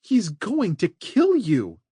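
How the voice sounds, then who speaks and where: A man voicing a desperate young mother with a hoarse voice who shouts in panic. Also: high-pitched, huge pitch swings, slow pace, dry recording, studio quality, voice pushed up, exaggerated voice. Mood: urgent. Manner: shouting, frantic.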